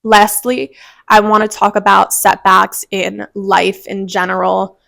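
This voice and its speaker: A woman with a slightly gravelly, fry-touched voice hosts a motivational podcast. She sounds a little congested and speaks earnestly and encouragingly, straight to her listeners. The recording is dry and close. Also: medium pitch, level tone, measured pace, a bit bright, dry recording. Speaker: podcast host.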